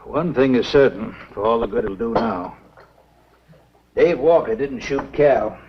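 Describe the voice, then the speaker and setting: A man acting a grave, stubborn small-town American doctor in a 1950s B-movie, with a husky, deliberate, emphatic delivery. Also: medium pitch, a little hiss or hum, natural voice. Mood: grave, insistent. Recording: dull, muffled 1950s film soundtrack.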